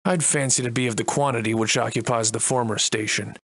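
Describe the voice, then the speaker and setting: An actor playing a wry, defiant Victorian-era adventurer and monster slayer in a video game, soliloquizing in florid, old-fashioned diction. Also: male voice, medium pitch, fairly even intonation, fairly quick, fairly bright tone, no room sound. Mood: grave, reflective. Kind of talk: theatrical video game voice lines in archaic English.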